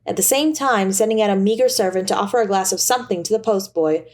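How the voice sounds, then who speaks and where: A woman narrates a classic story from a script. Her voice sits at a middle pitch and her reading is expressive and theatrical. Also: quick pace. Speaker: audiobook narrator.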